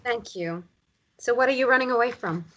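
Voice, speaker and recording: A woman's voice: on the high side, fairly quick, fairly bright tone, lo-fi sound, a little hiss or hum, close to an everyday voice. A woman playing a harried, irritable but reluctantly hospitable countryside mother in a drama table read. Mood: guarded, softening.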